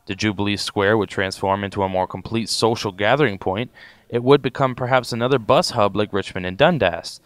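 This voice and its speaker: A man's voice: on the low side, a little fast, dry recording. A man reading scripted narration as the reporter of a short documentary.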